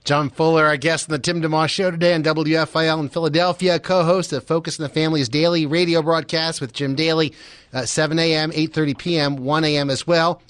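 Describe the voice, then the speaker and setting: Higher-pitched American male radio host. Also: fairly bright tone, dry recording. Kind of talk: conversational radio interviewing and announcing.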